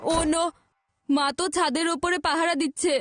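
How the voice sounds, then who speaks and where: A woman's voice: very high-pitched, steady tone, moderate pace, a bit bright, no room sound, studio quality. A woman voicing a mischievous young boy in a Bengali cartoon dub, talking in an eager, pleading way.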